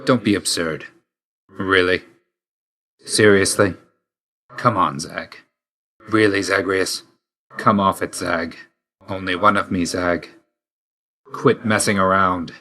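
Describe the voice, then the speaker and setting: A man's voice: low-pitched, fairly even intonation, slow, pauses often, pushed and bright, heavily acted. A man voicing a grim, reserved god of death in a video game, speaking tersely and solemnly with dry loyalty. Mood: dismissive. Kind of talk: scripted video game voice lines.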